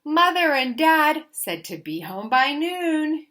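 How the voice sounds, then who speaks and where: Bright, very animated woman reading a storybook. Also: high voice. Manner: expressive, deliberate, sing-song emphasis. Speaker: teacher reading a picture book aloud. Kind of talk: animated children's storybook read-aloud.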